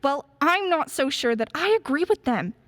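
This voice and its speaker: A woman acts out a mildly disapproving spouse in a radio drama. The voice is very high and sounds close and dry, with a chiding manner. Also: big pitch swings, moderate pace, fairly bright tone, no room sound. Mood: concerned, chiding. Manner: mild, chiding. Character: gently disapproving spouse of a busy prosecutor. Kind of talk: stage-style radio play acting.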